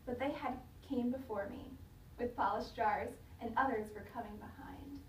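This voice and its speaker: A high-voiced woman reads a children's story aloud like a teacher, with expressive, dramatic delivery. She is recorded at a distance from the mic in an echoey room, with faint noise behind her. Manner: dramatic, pausing to question listeners.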